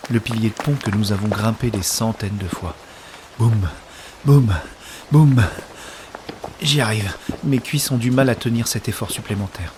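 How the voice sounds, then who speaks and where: A man's voice: fairly low pitch, background noise, close to an everyday voice. A man playing an anxious man on the run, narrating an audio drama in the present tense in short, urgent phrases. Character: anxious man racing through a riot to reach a woman.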